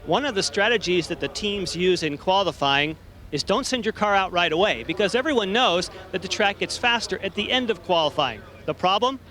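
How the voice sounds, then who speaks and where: A man explaining qualifying strategy as a race analyst in a measured, explanatory way. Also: sits high, lively intonation, bright, forceful tone.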